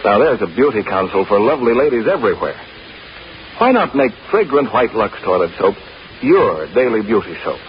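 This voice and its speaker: Animated male vintage radio announcer. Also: fairly low pitch, normal speed. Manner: emphatic, salesmanlike. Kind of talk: scripted 1950s radio advertising. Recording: dull, hissy old radio recording.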